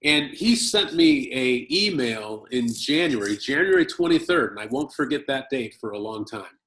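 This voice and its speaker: An American man from Chicago telling a personal story at a conference, speaking in short phrases and pausing for his interpreter. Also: mid-range voice, animated, fairly bright tone. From Chicago, United States. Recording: roomy echo.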